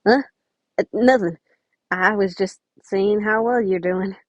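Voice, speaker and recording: A woman's voice: mid-range voice, unhurried, dry recording, close to an everyday voice. A woman acts out a smitten teenage boy from a storybook, friendly and flustered. He talks in a hesitant, chatty way. The sound is dry and slightly dull.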